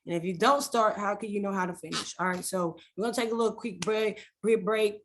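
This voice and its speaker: A woman hosting a podcast with lively, energetic talk that repeats phrases and leads a prayer. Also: mid-range voice, fairly quick, a little hiss or hum. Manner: energetic, hyped, repeats phrases, stumbles on names. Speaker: podcast host.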